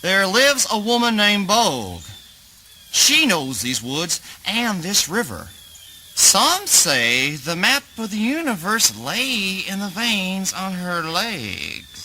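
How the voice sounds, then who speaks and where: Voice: medium pitch, big pitch swings, slow, bright, forceful tone, a little hiss or hum, heavily acted. An actor playing an evasive, folksy backwoods river dweller in a spoken word play, wry and cryptic in a rustic way. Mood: cryptic, ominous. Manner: folksy rustic phrasing, cagey.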